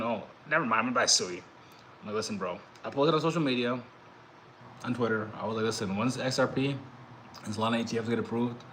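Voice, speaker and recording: Casting: young man, low voice, chatty crypto vlogger, rambling and restarting, faint hiss behind. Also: fairly bright tone, low-quality recording. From Dominican heritage. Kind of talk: casual vlog monologue.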